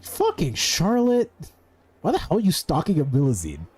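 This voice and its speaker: A streamer with a husky voice doing casual, blunt, teasing gameplay commentary with plenty of swearing. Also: mid-range voice, huge pitch swings, normal speed, a little mellow.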